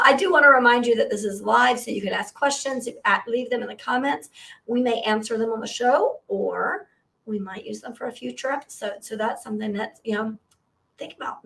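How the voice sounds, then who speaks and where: Hoarse, scratchy-voiced woman co-hosting a podcast. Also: on the high side, fairly quick, pushed and bright, distant mic. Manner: laughs often, tells chatty stories. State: hoarse from being sick. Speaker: podcast co-host. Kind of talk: casual live podcast chat.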